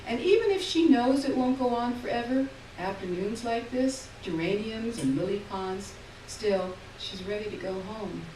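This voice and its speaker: A woman reading literary fiction aloud on stage in a lyrical, measured way, heard from far off in an echoey hall. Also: on the high side, warm tone, slight background noise. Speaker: reader. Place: theater stage.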